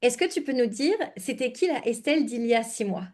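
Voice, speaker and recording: A woman's voice: on the high side, expressive, brisk, darkish tone. A woman hosting a podcast in a casual, informal interview style, slangy and mixing in English words. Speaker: podcast host and money coach.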